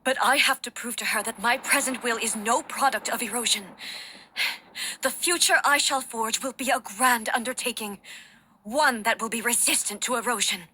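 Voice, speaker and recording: A woman playing a solemn, resolute female deity-warrior ruler in a game, speaking formally in measured, stately phrases. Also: on the high side, pushed and bright, slight background noise, rough recording. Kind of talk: scripted dramatic game dialogue.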